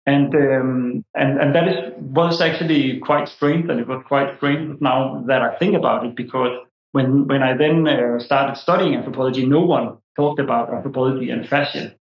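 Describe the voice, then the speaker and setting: Guest: Danish-accented man, 40s, halting academic storyteller; roomy, muffled sound. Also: mid-range voice. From Denmark. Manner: hesitant, many fillers and restarts. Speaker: guest, anthropology professor. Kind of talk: interview answers, storytelling.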